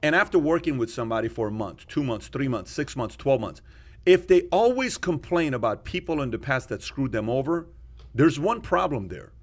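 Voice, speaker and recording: Male podcast host from Iran, conversational and opinionated, medium pitch, arguing through questions and personal anecdotes. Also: sing-song, moderate pace. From Iran, later Germany and America.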